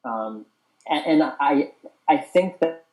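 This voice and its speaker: A man's voice: fairly high pitch, expressive, takes it slowly, darkish tone, faint music behind, low-quality recording. A young man hosting a casual group chat who stutters openly with repeated sounds and talks in an earnest, open way. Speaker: host.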